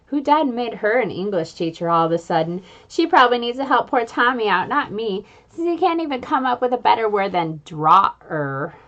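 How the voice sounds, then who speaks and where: A woman reading a children's book aloud in an expressive, playful way, adding little sound effects. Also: fairly high pitch, a little fast, few pauses, muffled recording. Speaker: reader.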